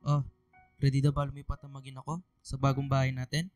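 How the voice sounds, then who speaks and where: A man with a Filipino accent playing a gentle, fond middle-aged father in a radio drama. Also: mid-range voice, completely flat delivery, brisk, soft background music, close to an everyday voice. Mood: fond, cheerful.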